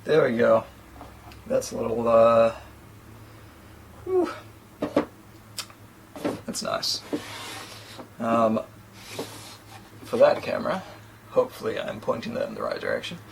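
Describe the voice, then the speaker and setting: A man in his thirties with a New Zealand accent talks through an unboxing in a relaxed, rambling way, throwing in little self-deprecating asides. His pitch bounces around a lot as he goes, and a faint hum of room ambience sits under his voice. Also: slow pace, gravelly, long pauses. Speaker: tech reviewer. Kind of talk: casual unboxing talk. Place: small indoor room against a plain sheet backdrop.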